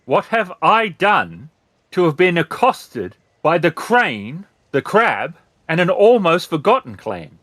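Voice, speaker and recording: A man puts on an imperious middle-aged samurai lord for a tabletop game. The voice is pushed up high, irritable and full of contempt, scolding whoever stands before him. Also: very animated, slow, fairly bright tone, dry recording, heavily acted. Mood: indignant, scolding. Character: haughty, irritable middle-aged male samurai governor, drinking.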